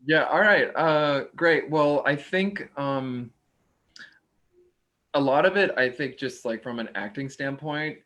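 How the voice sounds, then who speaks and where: A man speaks casually in a post-show discussion as one of the actors. His voice is of average pitch, and he gropes for words in halting phrases. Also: fairly bright tone.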